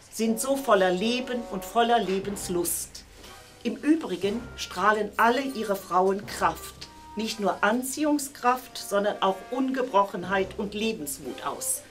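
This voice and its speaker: An older woman delivering a measured, formal opening speech about the artists at an exhibition. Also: on the high side. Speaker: opening speaker. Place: gallery, indoors.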